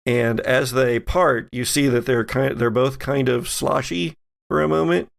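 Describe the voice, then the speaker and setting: A man's voice: mid-range voice, steady tone, a little slow, creaky. A man narrating a tabletop roleplaying game as the game master.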